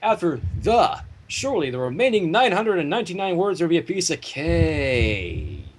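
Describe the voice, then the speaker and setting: A man with a fairly high, husky voice reads aloud in a theatrical way. He drops out of the story into casual stream chat, keeping the delivery animated and varied in pitch. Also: moderate pace. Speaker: fan fiction reader and livestream host. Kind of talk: expressive story reading mixed with casual livestream banter.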